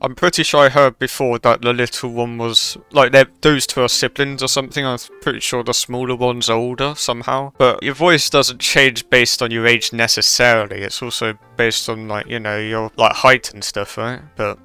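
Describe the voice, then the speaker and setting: Casting: casual streamer commenting on a game, bright and smooth voice, conversational, rambling. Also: mid-range voice, level tone, moderate pace, hardly any pauses, faint music behind.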